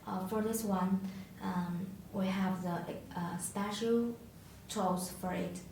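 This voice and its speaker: A woman who is a non-native English speaker, giving an unscripted product tutorial in a halting, hesitant way with searching pauses. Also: medium pitch, steady tone, darkish tone. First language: not English. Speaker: product demonstrator. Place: echoey indoor room, tabletop demonstration. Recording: echoey room, distant mic, faint noise.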